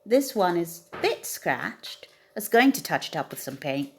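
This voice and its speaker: A woman's voice: on the low side, sing-song, moderate pace, a little hiss or hum. A woman voicing a kindly old male badger shopkeeper in a children's storybook, friendly and obliging.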